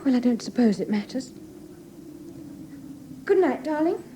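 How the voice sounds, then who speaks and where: An actress playing a gentle, uneasy young bride-to-be in a radio drama, soft-spoken and genteel, with a Received Pronunciation accent. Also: female voice, high-pitched, animated, measured pace. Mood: uneasy, tender. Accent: English Received Pronunciation. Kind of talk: classic British radio drama acting. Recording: old radio recording, faint background noise.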